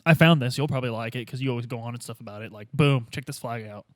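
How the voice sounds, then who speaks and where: A man's voice: mid-range voice, very fast, no room sound, studio quality. A man playing in a tabletop roleplay game, improvising casual, slangy dialogue in a blunt, joking way. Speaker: player in a tabletop roleplay podcast. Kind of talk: casual improvised in-character chat.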